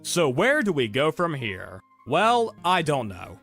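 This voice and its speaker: A man ranting sarcastically about his frustrations, his voice hoarse from being sick. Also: a little high, huge pitch swings, slow pace, a bit bright, soft background music. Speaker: content creator. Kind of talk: ranting commentary video.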